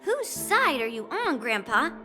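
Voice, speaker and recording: A woman's voice: high-pitched, big pitch swings, unhurried, pushed and bright, soft background music, exaggerated voice. Cocky teasing swordswoman. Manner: teasing, laughs often, smug. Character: cocky young female swordswoman, teasing and bold. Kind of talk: game cutscene voice acting.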